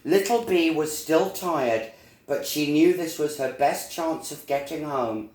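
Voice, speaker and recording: A man narrating a children's storybook in a deliberate, expressive storytelling manner, recorded in an echoey room. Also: on the high side. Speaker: storyteller reading a children's book.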